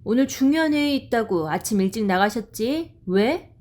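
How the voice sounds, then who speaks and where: A woman voicing a busy, pushy working mother in an audio drama, talking in a brisk, nagging way. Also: a little high, animated, darkish tone, lightly acted.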